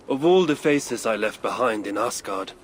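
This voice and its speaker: An actor voicing a crude, ill-tempered old male dwarf with a gruff, sardonic way of talking in a video game cutscene. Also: fairly low pitch, slight background noise.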